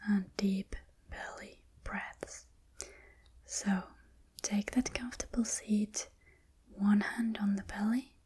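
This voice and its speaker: A woman whispers through a guided breathing lesson, her voice airy and breathy throughout. She talks slowly and unhurriedly, counting each breath aloud in a calm, mellow tone. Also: medium pitch. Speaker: breathing instructor.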